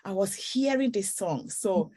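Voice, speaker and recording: A woman's voice: medium pitch, lively intonation, a little slow, dark tone, slightly rough. A woman author from Ghana, telling her story earnestly in a faith interview. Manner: earnest storytelling, breaks into song.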